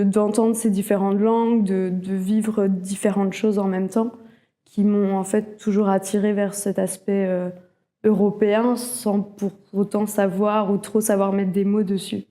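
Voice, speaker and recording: Woman, from Alsace, interview testimonial, mellow timbre, fairly flat intonation, thoughtful, hesitant phrasing. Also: medium pitch, unhurried. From Alsace, France. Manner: reflective, hesitant, often rephrasing as she goes. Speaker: cultural network worker, interviewee.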